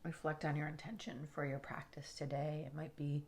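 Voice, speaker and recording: A woman leading a guided relaxation meditation in a soothing, unhurried way, with soft, breathy phrase endings. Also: sits low, flat delivery, a little hiss or hum. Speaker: yoga nidra meditation guide.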